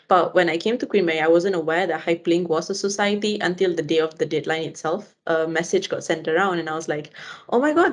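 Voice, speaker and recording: A young woman from Malaysia, a student guest chatting casually on a podcast with fillers. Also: on the low side. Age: young adult. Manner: chatty, fillers like "you know".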